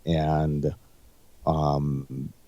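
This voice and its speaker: Very deep-voiced middle-aged man, dull sound. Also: little pitch movement, mellow, dry recording. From Poland. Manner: measured, hesitant, many fillers and restarts. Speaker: guest, jiu-jitsu instructor. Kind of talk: interview.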